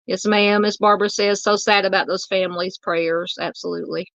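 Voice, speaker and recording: A woman's voice: medium pitch, fairly even intonation, brisk, creaky, fairly bright tone, no echo, highs cut off. Chatty woman hosting a livestream, voice wearing out. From Southern United States. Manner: rambling, chatty, many fillers. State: losing her voice. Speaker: true crime livestream host. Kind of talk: casual livestream chat reading comments.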